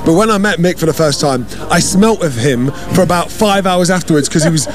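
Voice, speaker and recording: A man's voice: fairly high pitch, lively intonation, fairly quick, over music. A man hosting a radio interview, chatty and eager, prompting his guest with questions. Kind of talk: casual interview chat. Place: festival radio booth.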